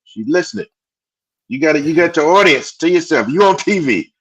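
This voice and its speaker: Slangy dude calling in, bouncy voice, kinda high. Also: male voice, animated, a little fast, husky, low-quality recording. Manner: slangy, loose and casual. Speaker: caller on a livestream.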